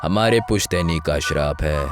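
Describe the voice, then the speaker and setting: A man playing a tall, scary cursed killer in an animated horror story, with a heavy, dreadful voice and an ominous manner. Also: rumbling low, music in the background. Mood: grim, ominous. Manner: slow, deliberate, ominous.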